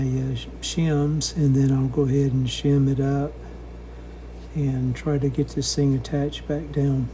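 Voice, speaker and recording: A man giving a casual, low-key, step-by-step narration of hands-on repair work, matter-of-fact and a little hesitant. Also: warm tone, a little hiss or hum. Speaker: radio restorer narrating a repair.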